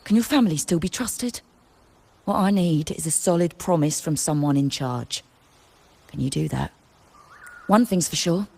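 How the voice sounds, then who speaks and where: A woman's voice: sits low, expressive, moderate pace, vocal fry, long pauses, mellow, breathy edge, heavily acted. An actress voicing a blunt, businesslike woman trader in a video game, frank and conversational. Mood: stern, challenging.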